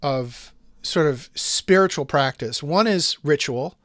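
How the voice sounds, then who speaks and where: A man with a slightly higher voice hosts a casual podcast and talks in long, winding thoughts that loop back on themselves. The sound is dry and close, with no room around it. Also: slow, fairly bright tone, dry recording. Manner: thoughtful, rambling with restarts and asides. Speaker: podcast host.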